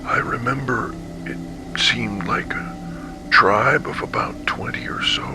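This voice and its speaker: An actor playing a traumatized, weakened male survivor giving halting, breathy testimony in an analog horror story. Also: medium pitch, background noise, close to an everyday voice. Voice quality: breathy, whispery. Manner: slow, halting, haunted. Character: traumatized male survivor of a monstrous captivity, haunted and weak.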